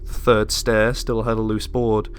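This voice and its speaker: A man giving a dramatic, deliberate audiobook narration of a suspenseful horror story. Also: fairly low pitch, completely flat delivery, a little hiss or hum. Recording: music under the voice.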